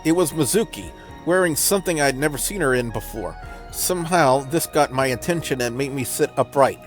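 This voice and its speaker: A man's voice: medium pitch, animated, brisk. A man hosting a let's play, reading visual novel narration aloud in a dramatic storytelling style. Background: music.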